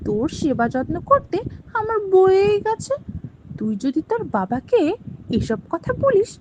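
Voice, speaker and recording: A woman's voice: very high-pitched, sing-song, unhurried, voice pushed up, full character voice. A woman voicing a cruel, greedy stepmother in a cartoon story, talking in a harsh, scolding, sneering way. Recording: dull lo-fi with faint hiss.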